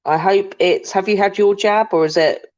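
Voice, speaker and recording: A woman with a deep voice co-hosting a podcast, chatting bluntly and casually, sometimes swearing. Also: fairly even intonation, normal speed, a little mellow. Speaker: podcast co-host. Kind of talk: casual chat about a paranormal case. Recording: dull lo-fi sound.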